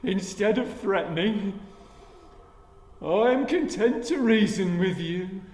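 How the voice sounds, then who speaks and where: High, very slow, wretched monster. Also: male voice, lively intonation, darkish tone. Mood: anguished, pleading. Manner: archaic, theatrical, slow declamation. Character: wretched, eloquent monster creature, miserable yet proud, menacing. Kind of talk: gothic radio drama acting. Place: live stage in a hall. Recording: echoey hall, long reverb.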